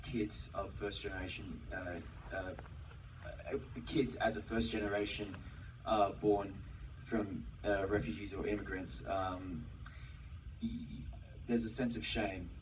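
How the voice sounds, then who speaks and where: A man's voice: on the low side, little pitch movement, measured pace. A man on a stage panel gives a reflective, hesitant answer, filled with "uh" and "um". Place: large theater, Q&A on stage. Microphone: audience member's camera from the seats. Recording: distant, noisy audience camera recording.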